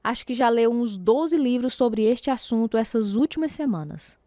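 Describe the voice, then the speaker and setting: A woman acting as a caring, devout wife who gently questions her husband, affectionate and concerned, with a Brazilian accent in a religious radio drama. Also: fairly high pitch, animated, normal speed, no room sound, clean studio sound, lightly acted. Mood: gently questioning.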